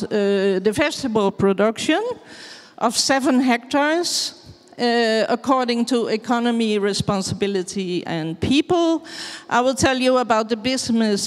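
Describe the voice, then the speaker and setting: Dutch woman speaker, slow and halting, clear voice, hall echo. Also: fairly high pitch. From Netherlands. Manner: hesitant, searching for words in English. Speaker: farmer giving a presentation. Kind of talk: slow halting presentation with many fillers. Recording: long hall reverb.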